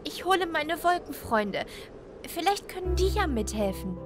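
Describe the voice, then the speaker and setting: A woman's voice: very high-pitched, big pitch swings, normal speed, music in the background. A woman voicing a cheerful, curious little cloud in a children's audio play, childlike and eager.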